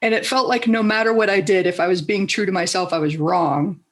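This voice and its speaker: A woman's voice: medium pitch, fairly quick. A woman from the Southern United States hosting a podcast and interviewing a guest in an enthusiastic, chatty way. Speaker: podcast host, coach. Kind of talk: casual interview talk.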